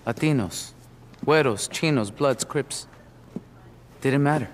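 A man's voice: medium pitch, unhurried, close to an everyday voice. A man acts a knowing, world-weary doctor in a game cutscene. He, speaking plainly over slight noise. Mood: reflective. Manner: plainspoken, knowing, slips into Spanish. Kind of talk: video game cutscene acting.

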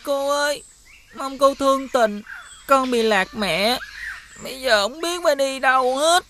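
A woman voicing a hungry young runaway boy in an animated folk tale, childlike and pleading, with a Southern Vietnamese accent. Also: sits high, measured pace, a little hiss or hum. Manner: pleading, childlike, polite to elders.

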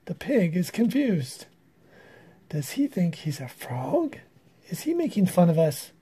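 A man's voice: sits high, big pitch swings, unhurried, mellow, slightly rough, full character voice. A man voicing flustered, indignant pond frogs from a children's storybook, exclaiming. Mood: baffled.

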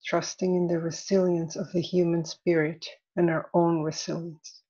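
A woman leading a guided meditation as an instructor, soothing and deliberate, in measured phrases with long pauses. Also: low-pitched, a little mellow, husky, muffled recording.

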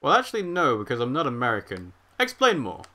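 Bright-voiced man chatting through gameplay. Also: mid-range voice, wildly swooping pitch, quick pace. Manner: chatty, reacting aloud and reading chat. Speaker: let's play streamer. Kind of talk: casual live game commentary.

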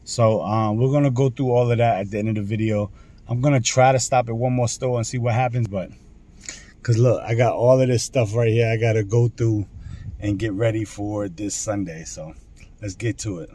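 A middle-aged man chatting casually in a vlog, slangy and informal, with a raspy voice that is wearing out. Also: lowish voice, steady tone, normal speed, warm tone. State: losing his voice. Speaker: vlogger and clothing reseller. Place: in stores, a parked or moving car, and a room at home.

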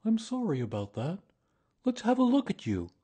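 A man's voice: high-pitched, wildly swooping pitch, normal speed, mellow, breathy edge, voice pushed up, full character voice. A man voicing an earnest, kindly, simple male bear in a children's storybook, speaking in an emphatic, plain way. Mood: friendly concern. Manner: emphatic, solemn, plain-spoken. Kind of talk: children's storybook character reading.

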